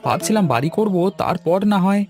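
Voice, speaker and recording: A man's voice: high voice, lively intonation, normal speed, a little mellow, faint music behind. A man voicing a reluctant, easygoing young village bachelor in a cartoon. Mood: exasperated. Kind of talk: Bengali cartoon dialogue.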